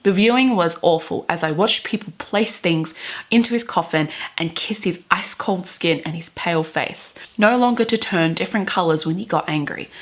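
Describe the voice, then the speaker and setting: A woman's voice: lowish voice, moderate pace. A woman, a chatty, wry book vlogger mixing casual talk with dramatic readings. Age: 20s. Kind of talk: casual chat mixed with dramatic readings of fan fiction. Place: small bedroom, indoors.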